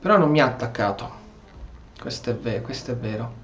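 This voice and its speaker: A man's voice: medium pitch, expressive, fast, highs cut off, faint music behind. A man with an Italian accent giving casual commentary and role-playing along as he plays a video game. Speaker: gameplay streamer.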